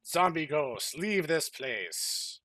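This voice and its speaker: Man putting on an earnest, melodramatic action hero, higher-pitched. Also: sing-song, takes it slowly, bright, forceful tone, full character voice. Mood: solemn, resolute. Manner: stilted, declamatory dramatic reading. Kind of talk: mock-dramatic reading of amateur fan fiction.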